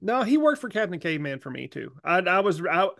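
Gravelly, higher-pitched man chatting. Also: big pitch swings, a little fast, fairly bright tone, dry recording, clean studio sound. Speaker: podcast co-host. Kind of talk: casual chat.

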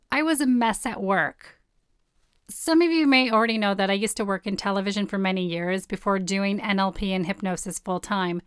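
Woman with a hoarse voice hosting her podcast, 40s, blunt and swears a bit, super dry sound. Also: medium pitch, no room sound. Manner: candid, blunt, swears casually. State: hoarse, partly lost voice. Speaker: podcast host. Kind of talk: personal storytelling podcast.

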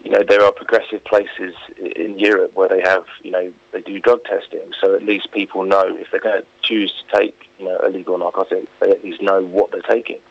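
A man's voice: low-pitched, level tone, a little fast, vocal fry, few pauses, breathy edge. A man being interviewed on the radio, talking informally and giving his opinions in a rambling way peppered with filler phrases. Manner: informal, opinionated, rambling with frequent "you know" and "like". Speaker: interview guest. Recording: dull, lo-fi phone-like radio link.

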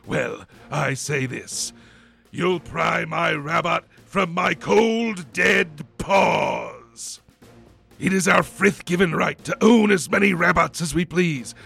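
A man's voice: on the high side, vocal fry, pushed and bright, breathy edge, heavily acted. A man playing a blustering, indignant spokesman in a parody political advertisement for a radio play, declaiming with fervor and emphasis. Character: blustering, fervent rabbit gun-lobby-style advertisement spokesman.

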